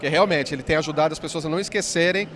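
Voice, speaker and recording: A man's voice: fairly high pitch, fairly bright tone. A man in his forties, an app company founder, explaining his product eagerly in an interview at a trade fair, speaking into a handheld mic. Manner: fast, enthusiastic explainer with many "né"s. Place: busy indoor expo hall.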